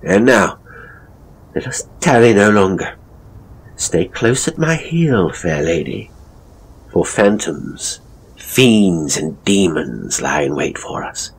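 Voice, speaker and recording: A man's voice: deep voice, animated, slow, slight background noise, full character voice, rough recording. A man playing a hapless, seedy ghost tour guide in an audio horror story, with a British accent and a pompous, florid, leering manner. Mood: theatrical, ominous.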